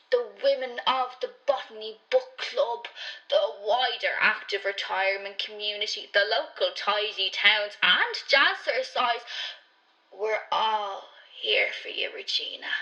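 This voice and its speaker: A woman's voice: medium pitch, bright, forceful tone, rough recording. A woman playing a fussy, effusive elderly Irish club lady leaving a sympathetic voicemail in a radio drama. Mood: tender, consoling.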